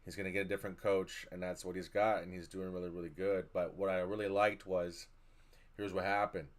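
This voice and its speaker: Middle-aged male co-host, lowish voice, blunt and profane. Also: level tone, brisk. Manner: blunt, swears casually, reassuring. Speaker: podcast co-host. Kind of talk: casual sports chat.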